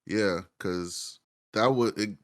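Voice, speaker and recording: Male, lowish voice, informal panelist who thinks aloud and restarts. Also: slow pace. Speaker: podcast panelist. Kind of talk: casual panel discussion.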